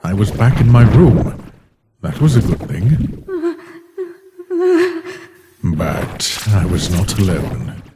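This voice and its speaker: A man voicing a weary, brooding ancient being who narrates a comic dub in a solemn, measured way. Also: lowish voice, sing-song, very noisy. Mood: pensive, guarded. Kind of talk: scripted first-person comic dub narration. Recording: voice under loud music.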